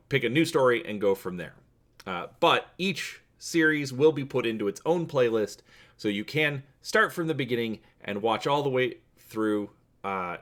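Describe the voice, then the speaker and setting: A man's voice: fairly low pitch, fairly bright tone. A middle-aged man hosting a tabletop gaming channel, talking in a casual, presenter-like introduction style.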